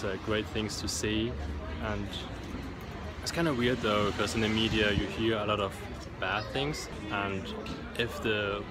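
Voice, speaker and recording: A young man talking casually to camera as a travel vlogger, relaxed and rambling with hesitant restarts, filming himself on a phone held at arm's length in a large, busy bus station. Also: lowish voice, heavy background noise. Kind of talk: casual vlog talk to camera.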